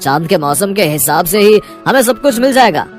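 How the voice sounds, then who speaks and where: A man's voice: high-pitched, animated, normal speed, soft background music. A man voicing a rich, grateful older businessman in a cartoon moral story, friendly and emphatic. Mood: reassuring.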